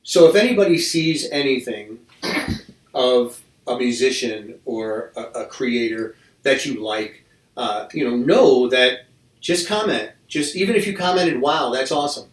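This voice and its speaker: A man's voice: mid-range voice, expressive, a little slow. Man, podcast host, casual chat, rambling, restarts sentences, echoey room, distant mic.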